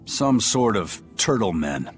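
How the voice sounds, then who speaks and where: Deep-voiced, stoic spirit pirate. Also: male voice, very animated, takes it slowly, soft background music. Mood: dry. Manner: terse, matter-of-fact. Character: gruff, worldly male spirit pirate, stoic and knowing. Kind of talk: video game cutscene acting.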